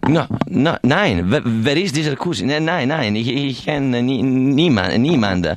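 A man's voice: medium pitch, lively intonation, a little slow, noisy background, lightly acted. A man playing a flustered Italian who refuses everything in a live radio play, stammering and repeating himself with an Italian accent. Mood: flustered refusal. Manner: stammering, repetitive, agitated.

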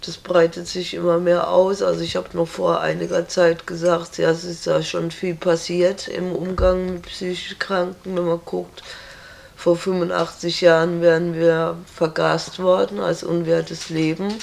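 A woman's voice: on the low side, flat delivery, a little slow, creaky, darkish tone. A woman answering interview questions in a blunt, firm and direct way.

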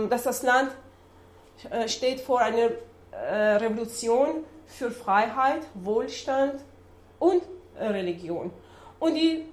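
A woman from Iran with a Persian accent, giving an adult-education lecture in a halting way with many fillers as she searches for words. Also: high voice, darkish tone, roomy sound. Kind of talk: public lecture with map slides. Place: lecture room.

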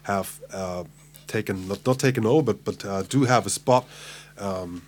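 A man's voice: fairly low pitch, moderate pace, a little mellow, a little hiss or hum. A middle-aged man, a musician guest chatting casually, hesitant and full of fillers. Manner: hesitant, many fillers and restarts, laughs easily. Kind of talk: casual chat.

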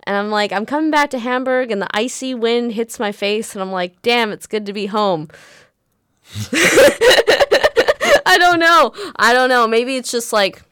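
A young American woman hosting a radio show in casual chat, animated, laughing often and swearing freely. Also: on the high side, normal speed, clear, resonant tone, dry recording, studio quality. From United States.

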